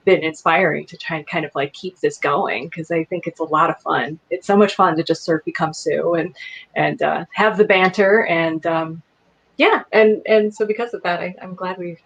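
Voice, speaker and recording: A woman's voice: fairly low pitch, moderate pace, fairly bright tone, breathy edge. A woman talking casually as a guest, in a conversational and unscripted way. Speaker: voice cast guest. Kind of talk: casual chat. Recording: slightly dull, lo-fi connection.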